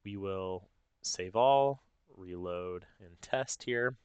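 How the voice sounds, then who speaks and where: A man narrating a casual software tutorial step by step, informally thinking aloud as he goes. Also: sits low, slow pace, clean tone, no echo. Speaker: tutorial host.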